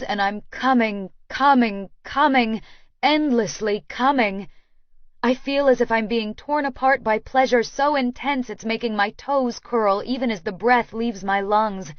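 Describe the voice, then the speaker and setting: Slow, measured female audiobook narrator. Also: fairly high pitch, no echo, highs cut off. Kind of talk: first-person romance audiobook narration.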